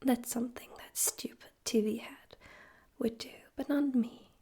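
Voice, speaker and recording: A woman voicing a smug, politely menacing male radio demon overlord in a soft, breathy, theatrical whisper for an ASMR roleplay. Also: a little high, fairly even intonation. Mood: defensive. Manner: slow, teasing, theatrical, condescending.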